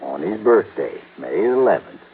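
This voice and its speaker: An old folksy desert prospector on tinny old radio. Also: male voice, sits low, very animated, moderate pace. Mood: insistent. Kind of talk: 1950s radio drama acting. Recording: tinny old radio broadcast, faint hiss.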